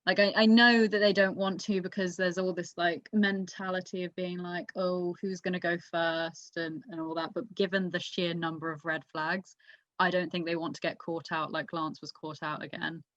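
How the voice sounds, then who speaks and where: A woman's voice: lowish voice, flat delivery, normal speed, a bit bright. A woman chatting casually about motorsport as a podcast co-host, giving her opinions emphatically. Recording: echoey room, dull lo-fi mic.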